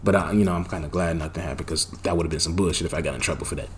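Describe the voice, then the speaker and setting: A young man casually telling a personal story, animated and profane, with loud bursts and lots of slang. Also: deep voice, racing, a little hiss or hum. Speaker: storytime vlogger.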